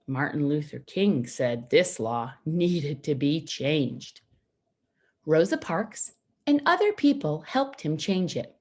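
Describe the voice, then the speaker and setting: A woman reads a children's storybook aloud at a slow pace. Her pitch moves in lively swings, and her voice has a husky edge. She phrases things simply, leaving pauses so young listeners can follow. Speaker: storybook reader for schoolchildren. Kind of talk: read-aloud children's picture book narration.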